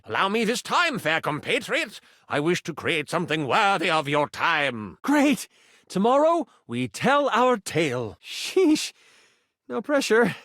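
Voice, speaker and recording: An actor playing an excitable, earnest living twenty-sided die who runs fantasy tabletop games with playful, theatrical storytelling. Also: male voice, high voice, no room sound, clean studio sound, exaggerated voice. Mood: eager, earnest. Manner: expressive, playful, shifting into grand storyteller phrasing. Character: excitable, earnest twenty-sided die come to life, eager game master. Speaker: tabletop game master. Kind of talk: theatrical fantasy game narration.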